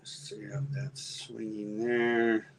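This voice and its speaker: A man's voice: mid-range voice, steady tone, slow pace, slight background noise. A man hosting a live custom paint demo in his shop, folksy and easygoing, thinking aloud while he works.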